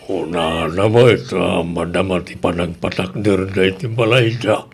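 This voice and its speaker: Man acting creaky old Filipino father, worried and grumbling. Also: sits low, a little slow, slight background noise. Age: older. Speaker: actor in audio drama. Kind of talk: Ilocano radio drama acting.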